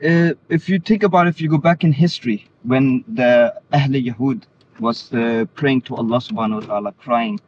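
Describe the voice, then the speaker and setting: A man's voice: medium pitch, moderate pace. Muffled, noisy recording of a man from Denmark. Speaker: interview guest. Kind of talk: earnest religious conversation. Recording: muffled lo-fi audio, clear background noise.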